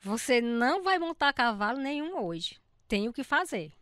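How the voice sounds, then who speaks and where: A woman playing a strict, brisk farm aunt in a radio drama, commanding and emphatic. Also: a little high, big pitch swings, a bit bright, clear, resonant tone, no echo. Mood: stern.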